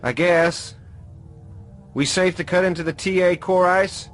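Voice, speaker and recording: Edgy young hacker in a radio play, highish, clipped lines, faint music. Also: male voice, steady tone, measured pace. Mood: tense, businesslike. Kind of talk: radio drama acting.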